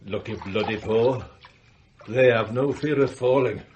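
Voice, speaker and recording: A man's voice: mid-range voice, a little slow, a little mellow, lo-fi sound, a little hiss or hum, natural voice. An actor playing a pampered, self-indulgent magnate in a radio comedy drama, sighing and luxuriating over his words. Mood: blissful, languid. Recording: water splashing and dripping behind voice. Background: bath water sounds.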